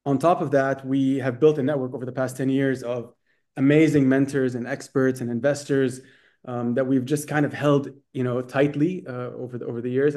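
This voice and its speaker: A man in his thirties who grew up in Saudi Arabia, with a Dubai international accent from American schools, answering interview questions over a video call with quick talk and many fillers. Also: mid-range voice, dark tone. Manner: quick, fluent, with frequent fillers and restarts. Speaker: guest, startup accelerator executive. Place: bright room indoors. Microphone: video call webcam.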